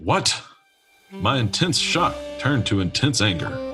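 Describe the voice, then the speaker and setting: An actor playing a no-nonsense, wounded detective in a noir radio comedy, earnest and deadpan in his melodrama. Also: mid-range voice, slow pace. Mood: shocked, angry. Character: no-nonsense, wounded, half-float detective, male, hardboiled. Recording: music playing behind the voice. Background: music.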